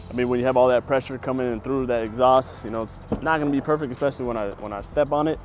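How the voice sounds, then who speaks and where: A young man talking casually to viewers from inside his pickup truck while he drives, relaxed and slangy, calling them fellas. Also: medium pitch, racing, music in the background. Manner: laid-back slangy chatter, addresses viewers as fellas. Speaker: car vlogger. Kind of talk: casual ride-along talk to camera. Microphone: mic clipped inside the cab.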